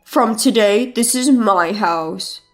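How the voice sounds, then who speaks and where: Female narrator as haughty husband, lowered pitch, curt, contemptuous, dramatized story. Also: slow, soft background music, close to an everyday voice. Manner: curt, dismissive.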